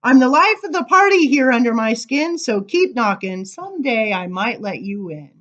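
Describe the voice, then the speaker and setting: A woman reading poems aloud to children as a storytime librarian, with animated, sing-song emphasis and casual asides. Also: a little high, normal speed, muffled recording.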